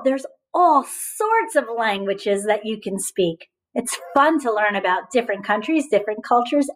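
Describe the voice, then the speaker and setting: Slow-paced woman teaching young children. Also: on the high side, rough recording. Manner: animated, encouraging, asks questions and pauses for answers. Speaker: early-years teacher reading a picture book aloud. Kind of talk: read-aloud lesson for young children.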